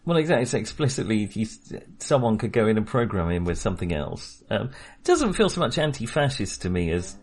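A man's voice: fairly low pitch, sing-song, brisk, hardly any pauses, a little mellow, breathy edge. A man co-hosting a casual film podcast, talking with many fillers and restarts. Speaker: podcast co-host.

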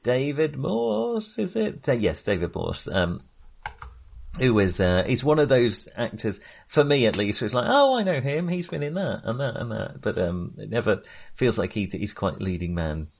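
A man's voice: medium pitch, big pitch swings, moderate pace, gravelly, darkish tone. A man talking casually as a podcast co-host in a film discussion, with a chatty, hesitant delivery.

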